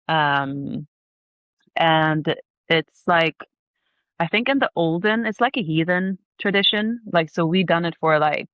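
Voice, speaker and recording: A young Swedish woman with a slightly low voice talks in a loose, rambling way, full of "like" and casual swearing. She is heard over a remote call, and the sound is dry and a little dull and lo-fi. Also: no room sound. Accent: Swedish, from the south of Sweden. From Sweden. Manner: chatty, fills every sentence with "like", swears freely. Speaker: podcast guest. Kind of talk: casual chat.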